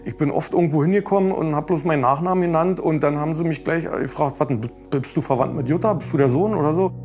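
A man's voice: on the high side, quick pace, darkish tone. A man giving a spontaneous documentary interview as a relative, colloquial, often adding little tag questions. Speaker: interviewee, son of a crime victim. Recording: faint music bed under interview.

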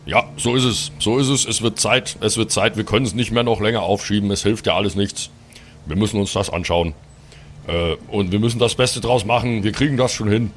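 Man playing a low-voiced, dutiful adventurer brother. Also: fairly even intonation, fairly quick, a little hiss or hum, close to an everyday voice. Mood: resigned, earnest. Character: dutiful, studious older-brother adventurer, resigned and practical.

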